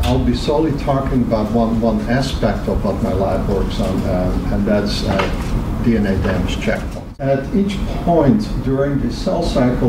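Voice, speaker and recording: A male professor explaining research in a lecture-like way, with halting, hesitant delivery. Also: mid-range voice. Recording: clearly noisy room ambience.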